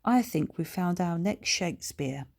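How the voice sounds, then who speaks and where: A woman's voice: lowish voice, expressive, unhurried, vocal fry, a little mellow, exaggerated voice. A woman voicing a polite, strict but encouraging female schoolteacher, projecting primly as if to a class, in a children's story. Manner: projected, prim, addressing a class.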